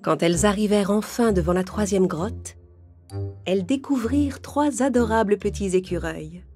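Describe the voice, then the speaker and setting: Female storyteller, medium pitch, scripted children's fairy-tale narration, clear background music. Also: normal speed. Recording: music behind the voice.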